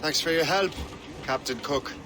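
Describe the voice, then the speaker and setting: A man's voice: fairly high pitch, moderate pace, natural voice. An actor playing a brooding young male assassin turned sea captain in a dramatic video game, earnest and hard-edged. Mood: grave, businesslike.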